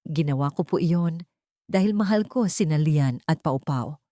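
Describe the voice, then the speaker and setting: A woman voicing a meek, sincere young housemaid in an audiobook drama, soft, deferential and pleading. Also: sits low, lively intonation, moderate pace, dry recording, studio quality. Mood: meek, sorrowful.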